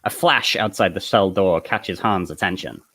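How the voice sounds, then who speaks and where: A man's voice: low voice, normal speed, pushed and bright. A man narrating stage directions at a comedic table read of a film script.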